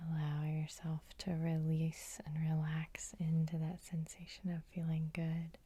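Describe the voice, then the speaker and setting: A woman hypnotherapist guiding sleep hypnosis in a soothing, unhurried, breathy ASMR whisper, close to the microphone. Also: sits low, dark tone.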